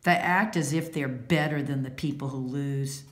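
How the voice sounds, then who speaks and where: A woman reading a children's picture book aloud, instructive and deliberate, adding short comments. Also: rumbling low, reverberant. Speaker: read-aloud storyteller.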